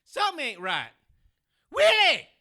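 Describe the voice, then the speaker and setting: Actor as streetwise drifter, animated pitch, forceful edge, slangy, exclamatory, audio drama. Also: male voice, measured pace, no room sound, studio quality, heavily acted. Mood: uneasy. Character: streetwise, excitable, softer-hearted male vagrant.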